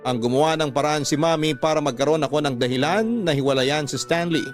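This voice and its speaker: A man reading a scripted, sentimental first-person story as narrator of a Tagalog radio drama, in a measured manner. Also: on the high side, soft background music, lightly acted.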